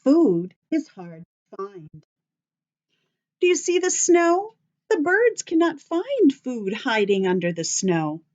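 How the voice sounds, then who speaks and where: An American woman reading aloud to young children like a teacher, expressive and sing-song, addressing them with questions. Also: sits high, slow pace, dull audio. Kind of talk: children's story read-aloud.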